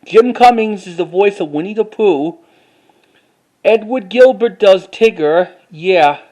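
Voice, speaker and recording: A man with a distinctly high-pitched voice presents collected items in a casual vlog style. His delivery is informal and halting, and he often repeats phrases as he moves through his show-and-tell. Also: lively intonation, darkish tone. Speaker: collector showing items to camera.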